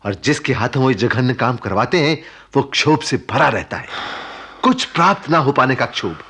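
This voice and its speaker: A man's voice: medium pitch, animated, moderate pace, bright, forceful tone, breathy edge, heavily acted. An actor in a radio play voicing a concerned, philosophical man who soothes and explains while caring for an injured stranger. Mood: reflective, earnest. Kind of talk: Hindi radio play acting.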